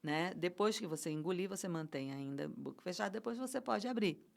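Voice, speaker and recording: A middle-aged woman with a Brazilian accent talks as a guest in a casual interview. Her voice sits a bit low. She speaks with passion and feeling, often restarting her sentences. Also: lively intonation, brisk, gravelly. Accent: Brazilian Portuguese. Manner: passionate, emotional, many restarts and fillers. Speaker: guest, event producer.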